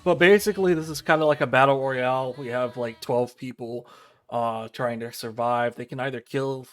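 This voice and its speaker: A man giving casual commentary on a visual novel playthrough, explaining things in a rambling, halting way. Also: mid-range voice, fairly even intonation. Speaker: game streamer. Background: game music.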